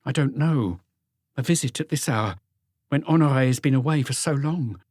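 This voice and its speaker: Guy doing a nervous sad lady in her 40s for an audiobook, high soft-mannered hesitant voice, close dry sound. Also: normal speed, no room sound, lightly acted. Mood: anxious. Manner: hesitant, apologetic. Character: anxious, grieving woman of forty-five, gentle hostess.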